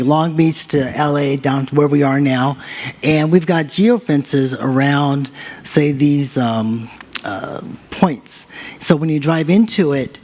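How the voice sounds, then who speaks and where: A man on a conference stage demoing an app, talking loosely through each screen with plenty of ums and uhs. His voice sits at an ordinary middle pitch, and he speaks as a hackathon presenter. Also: darkish tone. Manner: loose, filler-heavy walkthrough. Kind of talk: live product demo.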